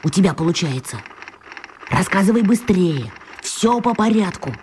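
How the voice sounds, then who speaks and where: A woman playing a shy, sceptical young boy, hushed and hesitant, in a children's radio play. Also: on the low side, very animated, moderate pace, slight background noise. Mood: curious, hushed.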